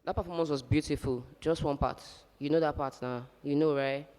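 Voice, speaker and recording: A woman judge giving direct, coaching advice with quick asides. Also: deep voice, steady tone, mellow. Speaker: talent show judge. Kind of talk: live critique. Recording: distant in reverberant hall.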